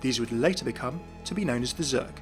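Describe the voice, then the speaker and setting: A man's voice: medium pitch, fast, faint music behind. A man hosting a video, giving scripted narration of video game lore.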